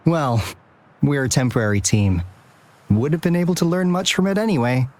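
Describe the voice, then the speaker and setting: An actor playing an earnest, hesitant young team leader in a video game, speaking in a measured way. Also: medium pitch, a little mellow, natural voice. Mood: downcast, hesitant.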